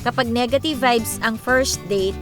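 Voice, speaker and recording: An actress playing a confident, playful, big-hearted young influencer in a radio drama, with a Filipino accent and a breezy mix of Tagalog and English. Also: female voice, fairly high pitch, measured pace, lightly acted. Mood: easygoing, advising. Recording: music bed under the voice. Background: music.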